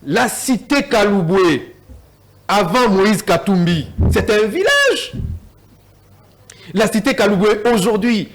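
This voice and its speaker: Animated high-voiced Congolese man arguing. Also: slow pace, pauses often, slight background noise. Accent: Congolese French. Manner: mixes French and Lingala, repeats words while searching. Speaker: debate guest. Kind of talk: heated TV political debate.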